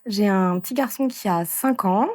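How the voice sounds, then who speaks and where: A woman in her thirties from France, telling her story in a casual interview, with frequent pauses and fillers. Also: medium pitch, a little mellow, dry recording, clean studio sound. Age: 30s. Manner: hesitant, many fillers and restarts. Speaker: interviewee, entrepreneur.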